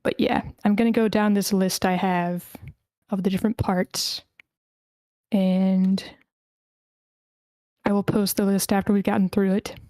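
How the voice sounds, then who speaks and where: A woman with a smooth, clear voice hosts an online meeting in a relaxed, informal way. Her tone is mellow and the sound is dry and close. She is a little hesitant as she talks, and accommodating with the people taking part. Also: medium pitch, a little slow, pauses often, dry recording. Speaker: host of an online screenplay table read. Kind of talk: casual meeting hosting. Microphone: close microphone on an online voice call.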